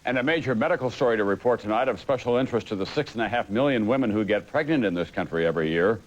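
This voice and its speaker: Male TV anchor, lowish pitch, scripted delivery, muffled old broadcast audio. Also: lively intonation, very fast. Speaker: television news anchor. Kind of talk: scripted news introduction.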